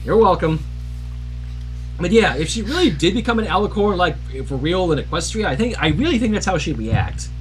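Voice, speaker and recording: A livestream reader narrating a story in an animated way, with casual asides. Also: medium pitch, a little fast, soft background music, poor audio.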